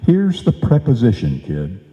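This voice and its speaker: An actor playing a blustering, sarcastic husband with emphatic, wisecracking delivery in an old-time radio comedy. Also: male voice, medium pitch, wildly swooping pitch, a little slow, warm tone. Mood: eager, persuasive. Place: live stage performance in a hall. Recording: muffled live recording in echoey hall.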